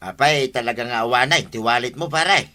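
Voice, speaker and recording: A man's voice: mid-range voice, a little fast, sharp tone, natural voice. A man acting a chatty village man in a comedy radio drama, with an Ilocano accent. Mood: dismayed.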